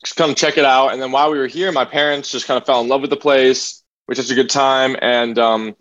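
A man's voice: mid-range voice, fairly even intonation, fairly quick, a bit bright. A young man in his twenties speaks over a video call, and the audio comes through dull and muffled. He talks casually and rambles, with lots of filler words. His voice sits in a middle range. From New Jersey, raised in Hawaii. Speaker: singer, podcast guest. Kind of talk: casual interview chat.

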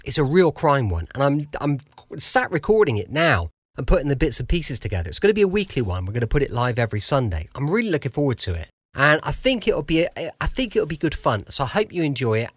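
Male English podcast presenter, animated and conversational, very dry home recording. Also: on the high side, no room sound. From United Kingdom. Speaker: podcast host. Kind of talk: chatty podcast introduction.

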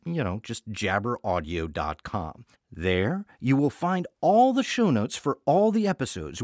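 A man's voice: a little high, no room sound, clean studio sound. A man hosting a podcast in a chatty scripted welcome, with wry, playful asides. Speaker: artistic director and podcast host.

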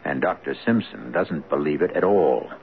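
Very deep, portentous radio storyteller. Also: male voice, lively intonation, normal speed, vocal fry, exaggerated voice. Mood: ominous, questioning. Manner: portentous, rhetorical. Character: grave, theatrical male storyteller of the supernatural. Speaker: host and narrator. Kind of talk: scripted radio drama narration. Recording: tinny old radio with loud music.

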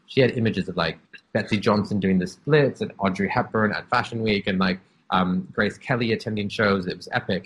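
Deep husky man telling his story. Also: fairly bright tone. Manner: open and reflective, with frequent "um" and "like". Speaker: guest. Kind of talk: candid personal storytelling.

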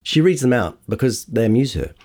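A man's voice: medium pitch, animated, fairly quick, darkish tone. A man reading a scripted feature article aloud as narrator, acting out quotes as he goes. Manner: acts out quotes within narration. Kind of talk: scripted audio long-read narration.

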